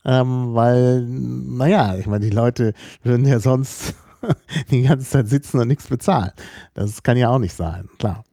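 Mellow-voiced male podcast host. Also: mid-range voice, a little slow, gravelly, no echo, studio quality. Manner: relaxed, chatty, laughs while talking. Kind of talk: casual podcast conversation.

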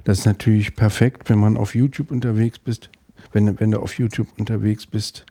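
A middle-aged man with a Berlin accent, guesting on a podcast and talking in a thoughtful, hesitant way with many pauses and restarts. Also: fairly low pitch, flat delivery, warm tone, no room sound. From West Berlin, Germany. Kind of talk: casual interview conversation.

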